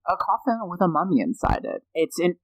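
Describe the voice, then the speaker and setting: A man's voice: high voice, sing-song, fairly quick, dry recording. A man guesting on a podcast, telling a story in a chatty, jokey way and reading quotes aloud. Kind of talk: casual storytelling chat.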